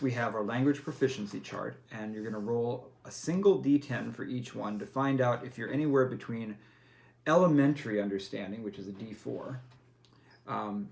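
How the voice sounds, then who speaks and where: A man's voice: mid-range voice, gravelly, a little mellow. A man walking a player through tabletop character creation, patient and full of fillers and self-corrections. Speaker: game master. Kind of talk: explaining game rules step by step.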